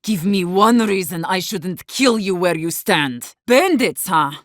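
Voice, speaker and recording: Blunt, low-voiced outcast warden leader. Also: female voice, animated, a little slow, a bit bright, no room sound, studio quality. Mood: accusing, threatening. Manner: blunt, commanding, swearing. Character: defiant, blunt, battle-hardened woman leading exiled outcast wardens. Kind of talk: video game character dialogue.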